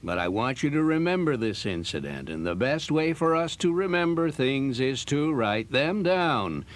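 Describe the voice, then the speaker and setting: Stuffy teacher guy actor doing it, pompous n formal, pitch swings all over, kids audio play. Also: big pitch swings, normal speed, lightly acted. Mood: stern, pompous. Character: stuffy, stern male schoolteacher lecturing his class. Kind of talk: children's audio drama acting.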